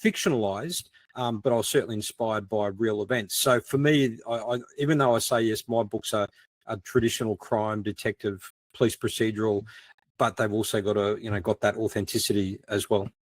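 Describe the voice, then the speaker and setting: A man's voice: on the low side, fairly even intonation, brisk, no echo. A middle-aged man with an Australian accent, explaining things conversationally as a podcast guest. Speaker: podcast guest, ex-detective crime writer.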